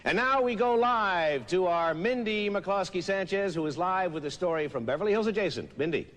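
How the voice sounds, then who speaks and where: A man's voice: high-pitched, lively intonation, normal speed, fairly bright tone. An actor playing a glib male TV co-anchor with breezy anchor banter. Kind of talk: parody news report.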